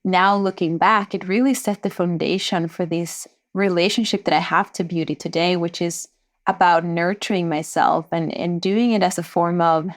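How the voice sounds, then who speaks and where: Low-voiced woman guest, reflective. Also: steady tone, moderate pace. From Sweden. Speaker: entrepreneur guest. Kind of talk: podcast interview.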